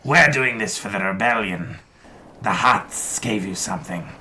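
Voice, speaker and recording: A man playing a threatening, self-righteous rebel in an audio drama, confrontational and forceful. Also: fairly low pitch, unhurried. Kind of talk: scripted audio drama acting. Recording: faint ambient noise.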